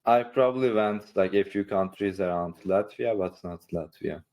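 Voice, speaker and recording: A man with a Turkish accent talking casually on a podcast in a halting, rambling way, leaning often on "like". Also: low voice, a little mellow. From Istanbul, Turkey. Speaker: online creator and coach, podcast participant.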